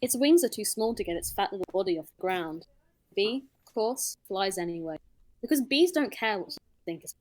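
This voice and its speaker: A woman voicing a solemn narrator in a deliberate, mock-serious movie monologue. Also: medium pitch, expressive, a little mellow, dry recording, lightly acted.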